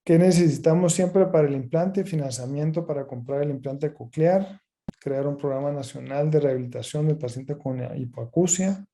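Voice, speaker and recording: A man speaking as a doctor giving an explanatory webinar lecture, halting and pausing mid-phrase. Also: fairly high pitch, dark tone. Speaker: ENT specialist doctor presenting a webinar.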